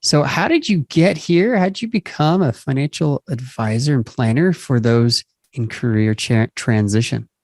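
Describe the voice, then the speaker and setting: Male interview host, mid-pitched voice, conversational presenter delivery, dry recording with little room sound. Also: moderate pace, dry recording. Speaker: show host. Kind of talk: podcast hosting, interviewing.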